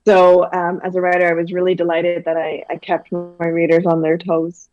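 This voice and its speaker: A woman's voice: on the low side, monotone, moderate pace, lo-fi sound, faint music behind. A woman from Guyana, a children's author, chatting animatedly in an interview with lots of fillers and restarts. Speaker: children's book author, guest. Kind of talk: casual interview chat.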